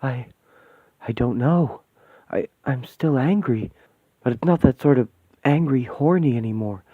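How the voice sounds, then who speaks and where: A man voicing an earnest young woman versed in magic, who explains things quickly. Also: mid-range voice, no room sound, lightly acted. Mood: uneasy. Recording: tinny phone-like sound.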